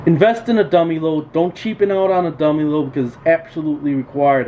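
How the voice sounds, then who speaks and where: Male repair-tech host, mid-pitched, informal and plain-spoken, hammering home practical advice over faint background noise. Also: narrow, tinny audio. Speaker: radio repair technician hosting a tool tutorial. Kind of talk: informal unscripted instructional talk. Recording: steady background noise, room ambience.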